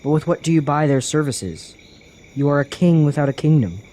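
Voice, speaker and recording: A man's voice: a little high, animated, brisk, dark tone, a little hiss or hum, close to an everyday voice. A man playing a cautious, earnest young traveler in a fantasy audio drama, speaking formally as an anxious protector. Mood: wary.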